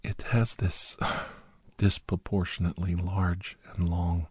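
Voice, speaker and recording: Guy playing a weary doctor in asmr audio story, deep hushed whispery voice, super dry and close, confiding. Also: little pitch movement, no echo, full character voice. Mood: hushed, reminiscing. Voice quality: breathy, often whispered. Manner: hushed, unhurried, halting, confiding. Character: weary, confiding male doctor who hunts shapeshifters.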